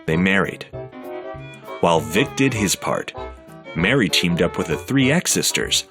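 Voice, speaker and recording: A man narrating a documentary in a measured, scripted storytelling manner. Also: low-pitched, big pitch swings, over music.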